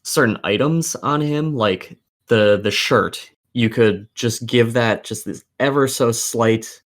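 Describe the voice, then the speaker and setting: A man's voice: mid-range voice, slow pace, fairly bright tone. A man co-hosting a casual video game discussion, giving opinions with plenty of swearing. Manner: profane, opinionated. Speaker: podcast co-host.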